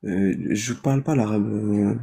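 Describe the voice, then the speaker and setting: A man calling into a live debate, talking haltingly with many fillers. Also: on the low side, vocal fry, mellow, breathy edge. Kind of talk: hesitant argumentative chat.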